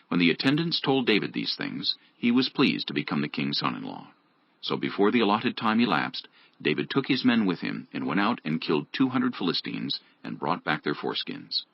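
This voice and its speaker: A man narrating scripture dramatically from a script, in a gravelly, husky voice. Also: extremely low pitch, no echo, highs cut off.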